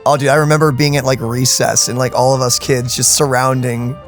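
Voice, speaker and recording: Excitable rambling gameplay host. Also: male voice, medium pitch, brisk, faint music behind. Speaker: let's play host. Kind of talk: casual gameplay commentary.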